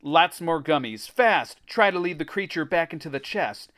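A man's voice: on the high side, expressive, normal speed, a bit bright, pitched above the performer's own voice. A man voicing a panicked, bossy child hero who exclaims urgently in a children's horror story reading. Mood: urgent, commanding. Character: panicked, bossy child narrator shouting orders at friends.

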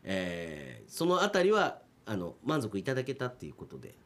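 A man's voice: on the low side, wildly swooping pitch, moderate pace. A man hosting a radio show in casual chat with guests, teasing them and prone to chuckling. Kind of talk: casual post-recording chat.

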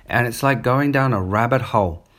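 A man's voice: medium pitch, normal speed, a bit bright. Rambling man with a New Zealand accent. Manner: rambling, digressive, conversational. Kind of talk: casual spoken monologue. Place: on holiday up the coast in Australia.

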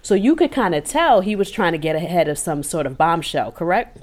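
Low-voiced woman co-hosting a podcast. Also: big pitch swings, fairly quick. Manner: conversational storytelling, emphatic asides. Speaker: podcast co-host. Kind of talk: casual podcast commentary.

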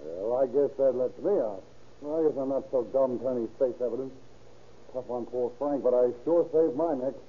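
An actor playing a smug, self-serving crook in 1930s radio drama style. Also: male voice, medium pitch, moderate pace, breathy edge, natural voice. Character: smug, self-serving crook who turned state's evidence. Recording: tinny old radio recording.

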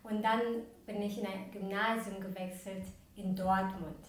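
A woman's voice: medium pitch, flat delivery, measured pace. Young woman, from Ukraine, podcast guest, chatty, fillers and restarts, far from mic, very echoey room. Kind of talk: casual interview talk.

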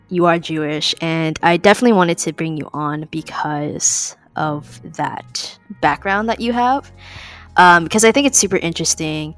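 Young woman podcast host, kinda low voice, rambly um-filled chat, soft music behind. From California. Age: young adult. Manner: chatty, many ums and likes, laughs between phrases. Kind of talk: casual interview chat.

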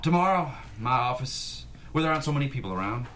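A man's voice: mid-range voice, expressive, quick pace, fairly bright tone, slight background noise, lightly acted. A man playing a grand, proud industrialist in a table read of a period drama. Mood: curt, firm. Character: grand, proud industrialist, commanding railway tycoon. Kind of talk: table read acting, public speech.

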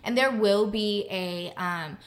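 A young adult woman speaking candidly as a podcast guest, halting often with fillers and restarts. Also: mid-range voice. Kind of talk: candid conversational interview.

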